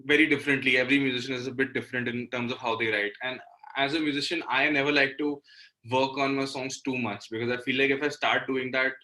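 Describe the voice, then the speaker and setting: Male, 20s, Indian accent, singer-songwriter interview guest. Bright voice, average pitch, reflective and explanatory, conversational. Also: steady tone, quick pace, low-quality recording. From Delhi, India. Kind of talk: casual interview talk.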